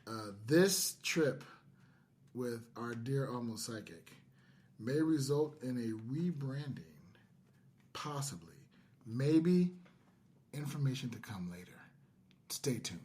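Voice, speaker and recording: A man's voice: medium pitch, expressive, takes it slowly, long pauses, a little mellow. A middle-aged man hosting a podcast in casual chat, joking and interrupting himself as he goes. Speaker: podcast host. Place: indoors, in person with co-hosts.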